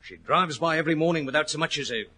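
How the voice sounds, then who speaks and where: An actor playing a worried, plain-spoken racehorse trainer in a 1940s radio drama, earnest and respectful, with rustic turns of phrase. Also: male voice, on the high side, very fast, bright, forceful tone, breathy edge. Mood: troubled, confiding. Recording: old 1940s radio recording.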